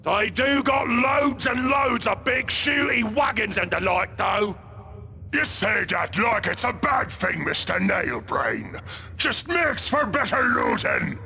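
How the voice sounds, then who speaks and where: A boisterous, brutish ork bellows in rough Cockney for a video game. The voice is strained high, harsh and gravelly, swinging about with crude belligerence. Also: male voice, expressive, slow, slight background noise, heavily acted. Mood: gleeful, belligerent. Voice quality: gravelly, shouty. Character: brutish, boisterous male ork warboss and his gang. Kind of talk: video game cutscene acting.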